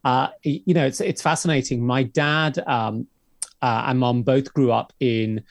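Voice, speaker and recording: A man of around fifty answers interview questions in a measured, reflective way, often pausing with "uh." His voice sits at a middle pitch, and the recording is dry, with no room echo. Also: steady tone. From England. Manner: measured and reflective, many uhs. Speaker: podcast guest.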